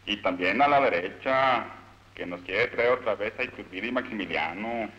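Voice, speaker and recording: A man's voice: fairly low pitch, normal speed, a bit bright, close to an everyday voice. A man with a Mexican accent playing a cynical, pompous, scheming political boss in a satirical cartoon. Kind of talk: satirical animated film dialogue. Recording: dull old film soundtrack.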